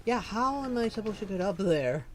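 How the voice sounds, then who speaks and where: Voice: medium pitch, lively intonation, moderate pace, over music. A streamer giving casual live gameplay commentary, rambling and thinking aloud.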